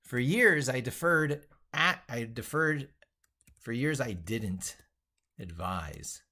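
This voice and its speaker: A man's voice: medium pitch, animated, takes it slowly, pushed and bright. A man giving an unscripted live-stream tech tutorial, rambling as he narrates what he does and leaning hard on his points. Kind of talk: unscripted live-stream tutorial, thinking aloud while working.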